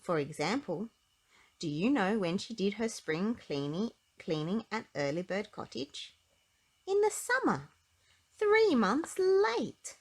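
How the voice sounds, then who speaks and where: A woman narrating a children's storybook aloud in an expressive, emphatic way with clear phrasing. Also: mid-range voice, slow pace.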